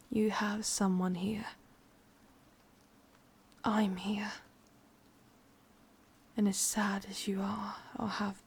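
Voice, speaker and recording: A woman voicing a sadistic, possessive witch lover in a breathy, husky whisper with an English accent, intimate and teasing, for an ASMR roleplay. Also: medium pitch, a little mellow, heavily acted.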